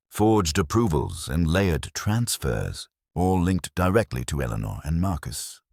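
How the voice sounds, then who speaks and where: A man's voice: extremely low pitch, dry recording, studio quality. A man reading a scripted dramatic story as narrator, in a solemn, formal way.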